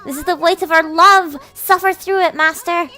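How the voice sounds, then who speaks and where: Woman putting on an excitable, squeaky cat girl. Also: normal speed, soft background music. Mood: playful, gleeful. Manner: bubbly, meowing cutesy exclamations. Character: excitable, clingy young cat girl, playful and affectionate. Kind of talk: visual novel character voice in a let's play.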